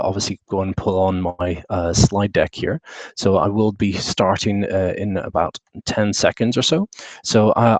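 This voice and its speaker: A man with a British accent talks through a slide deck in an online session, speaking in an easygoing way full of "uh" and "um". His voice sits fairly low. The sound is dry and close, picked up by a computer mic on a video call. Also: dry recording. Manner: relaxed, many fillers and restarts. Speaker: webinar presenter. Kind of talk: online conference presentation.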